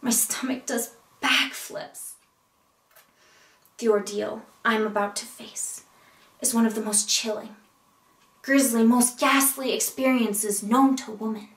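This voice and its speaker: A woman playing a neurotic, melodramatic single woman in a comedic stage monologue, with deliberate dramatic pauses. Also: fairly high pitch, steady tone. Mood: dramatic dread. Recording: echoey room, distant mic.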